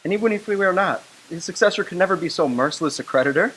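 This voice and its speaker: A woman playing an earnest debtor husband in a radio drama, steady in manner. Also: fairly low pitch, fast talker, slight background noise, poor audio.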